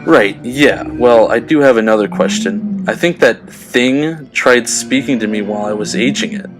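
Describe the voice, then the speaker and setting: A man's voice: medium pitch, moderate pace, over music, close to an everyday voice, low-quality recording. A man playing an uneasy, brooding teenage superhero in an audio drama, with a casual, hesitant way of talking. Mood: suspicious, hesitant.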